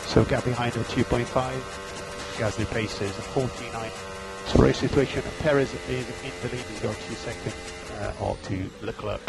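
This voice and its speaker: A man's voice: mid-range voice, steady tone, normal speed, gravelly, poor audio. A man race engineer reading out gaps, lap times and instructions to his driver over team radio. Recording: team radio with music behind.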